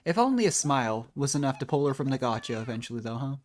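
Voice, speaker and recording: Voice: medium pitch, very animated, quick pace, a little mellow, a little hiss or hum. A game streamer giving casual live commentary, musing aloud and trailing off mid-thought. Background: game music.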